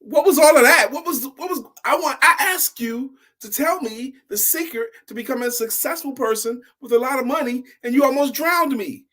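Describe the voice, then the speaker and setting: Man acting out an indignant, high-voiced young boy. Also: normal speed, hardly any pauses, bright, forceful tone, full character voice. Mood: confused, indignant. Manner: pleading, stammering, repeats words. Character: earnest, indignant ten-year-old village boy seeking success.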